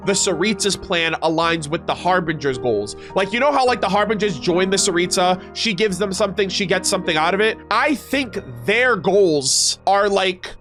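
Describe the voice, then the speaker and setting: A man streaming a reaction, reading story text aloud and breaking off into chatty, animated casual commentary. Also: sits high. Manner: chatty, animated asides between read passages. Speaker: streamer reacting to game lore.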